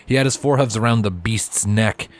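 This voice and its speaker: A man's voice: fairly low pitch, a little fast. A man reading scripted story narration as the narrator.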